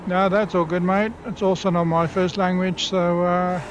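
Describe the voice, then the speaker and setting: A man's voice: sits high, level tone, background noise. A man, streaming a sim race and casually explaining how the car handles while chatting with viewers. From South Africa. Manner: easygoing, explains car handling between pauses. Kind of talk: live-stream commentary while racing.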